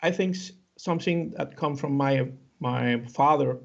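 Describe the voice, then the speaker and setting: Halting Cuban-accented man, slow and gravelly. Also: mid-range voice. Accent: Cuban Spanish. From Cuba. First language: Spanish. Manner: halting, many fillers and restarts. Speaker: interview guest. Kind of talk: hesitant conversational interview answers. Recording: dull lo-fi audio.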